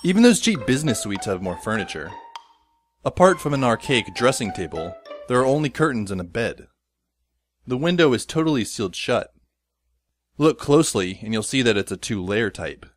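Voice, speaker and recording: A man playing a wry, resigned teenage schoolboy who narrates an audio drama in a deadpan manner. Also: mid-range voice, normal speed, lightly acted. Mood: wry, measured. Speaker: narrator and lead character. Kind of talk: first-person scripted audio drama narration. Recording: music behind the voice. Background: instrumental music.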